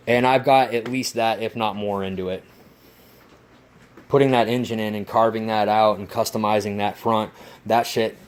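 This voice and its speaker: A man vlogging casually about his model plane build, rambling and swearing with excitement. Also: mid-range voice, a little hiss or hum. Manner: rambling, profane, enthusiastic. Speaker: RC hobby vlogger. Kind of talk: casual workshop vlog.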